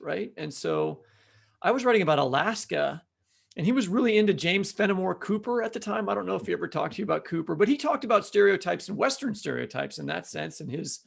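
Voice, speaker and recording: An American man co-hosting a podcast, reminiscing casually in a halting, rambling, conversational way. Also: high voice, vocal fry. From Kansas City, United States. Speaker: podcast co-host.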